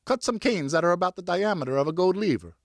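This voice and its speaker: A man voicing a bossy, excitable older boy in an audiobook, cocky and commanding, full of exclamations. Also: high-pitched, fast talker, vocal fry, no room sound, clean studio sound, pitched above the performer's own voice. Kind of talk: audiobook character voice.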